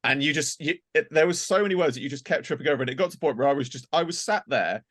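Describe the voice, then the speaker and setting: A man's voice: a little high, very fast, a bit bright. A man in a casual group chat who rambles in a self-deprecating way. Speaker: audio drama performer and director.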